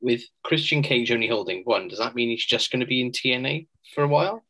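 A man co-hosting a podcast, talking in a measured, thoughtful way as he weighs things up in casual chat. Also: mid-range voice, bright, forceful tone. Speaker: podcast co-host.

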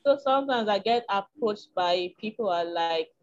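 A woman's voice: medium pitch, lively intonation, moderate pace, highs cut off. A woman with a Nigerian accent and a small, tiny voice, talking frankly and reflectively as an interview guest, with frequent ums. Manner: reflective, frank, with filler ums and soft laughs. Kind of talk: candid conversational chat.

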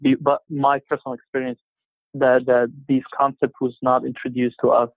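Egyptian guy on a phone line, halting, lots of ums, dry and tinny. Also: medium pitch, flat delivery, no room sound. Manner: halting, many fillers and restarts. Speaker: interview guest. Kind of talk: casual conversation.

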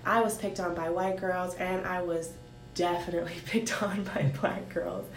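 A woman from Haiti telling her life story in a casual talk, thoughtful and pausing with many ums. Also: fairly even intonation, faint music behind. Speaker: guest sharing her story. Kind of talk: casual conversation. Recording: roomy with faint rumble.

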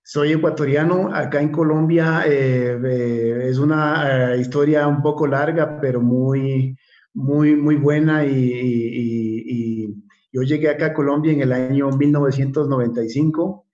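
A man in his fifties from Ecuador with an Ecuadorian accent, a guest telling his story in a video-call interview, halting and repeating words with many fillers. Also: mid-range voice, steady tone, darkish tone. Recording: slightly dull video call audio.

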